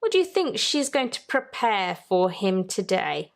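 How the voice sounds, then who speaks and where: A woman hosting a children's story time, reading aloud in a deliberate, teacherly way and putting questions to young listeners. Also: mid-range voice, big pitch swings, creaky.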